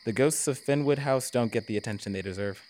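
An actor playing a gentle, patient young tour guide who speaks mildly and softly in an audio drama. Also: male voice, medium pitch, fast talker, soft background music, close to an everyday voice. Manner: mild, patient and soft-spoken.

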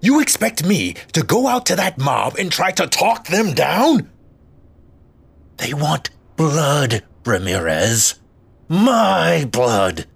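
Guy acting a sarcastic frazzled mayor, husky, slow talker, pitch all over the place. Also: big pitch swings, full character voice. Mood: exasperated. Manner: sarcastic, theatrical, drawn-out delivery. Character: sarcastic, frazzled, domineering male small-city mayor. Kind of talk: scripted audio drama acting.

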